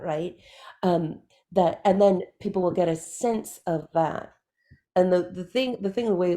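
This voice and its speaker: A woman hosting a coaching session, talking conversationally in a halting, thoughtful way and rephrasing as she goes. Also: fairly low pitch, creaky, dark tone. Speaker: host, voice-over coach.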